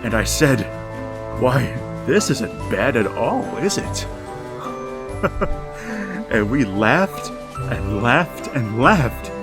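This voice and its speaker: An actor playing an aging father in a wheelchair, narrating a radio horror drama in a slow, halting, hushed manner. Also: medium pitch, big pitch swings, heavily acted. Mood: eerie glee. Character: older man father in a wheelchair, haunted, resigned narrator. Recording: music bed under the voice.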